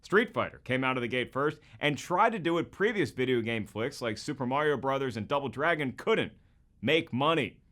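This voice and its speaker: A man's voice: mid-range voice, expressive, normal speed, a bit bright. A man with an American accent hosting a scripted comedic video essay in a jokey, emphatic presenter's delivery. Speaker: host.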